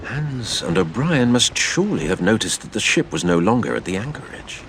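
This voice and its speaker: A man narrating a dramatised adventure story in a theatrical, measured storytelling manner. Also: lowish voice, sing-song, a bit bright, slight background noise, low-quality recording.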